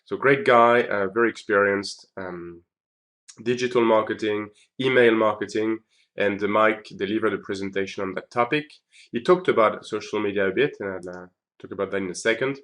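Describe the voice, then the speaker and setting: A man's voice: lowish voice, normal speed, fairly bright tone. A man giving a chatty conference recap on his video blog, with many ums and uhs. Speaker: video blogger.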